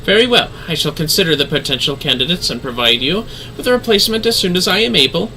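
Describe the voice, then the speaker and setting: A woman voicing a composed, gracious female leader in a fantasy game, speaking in formal, archaic, measured phrases. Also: mid-range voice, big pitch swings, pushed and bright, a little hiss or hum, rough recording. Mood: composed, courteous.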